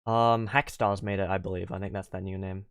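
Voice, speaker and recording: A man's voice: low voice, level tone, brisk, fairly bright tone, clean tone, no echo. A young man streaming and chatting casually with viewers, rambling with lots of "like" and restarts, venting at times. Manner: venting, exasperated. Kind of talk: casual livestream chat with viewers. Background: faint game music.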